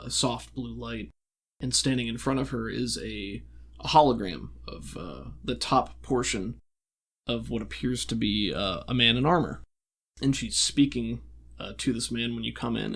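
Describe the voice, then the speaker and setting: Male game master, mid-pitched, improvised scene-setting with hesitant word searches. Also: a bit bright, husky. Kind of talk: narrating a tabletop roleplaying game.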